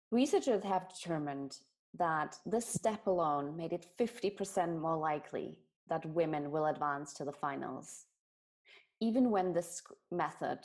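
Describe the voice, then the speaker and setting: A woman's voice: low voice, a little mellow. A woman from Germany giving an earnest, explanatory talk on bias and inclusion. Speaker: speaker on diversity and inclusion.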